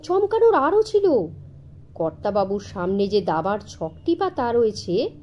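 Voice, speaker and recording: A woman narrating a scripted children's story in an expressive, dramatic storytelling manner. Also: mid-range voice, unhurried, muffled recording, a little hiss or hum. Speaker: audiobook narrator.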